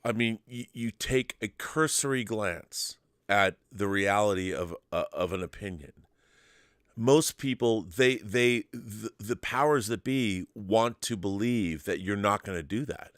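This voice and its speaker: A man's voice: on the low side, measured pace, a bit bright, dry recording. Emphatic middle-aged man, dry close sound. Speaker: talk show host. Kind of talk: opinionated casual discussion.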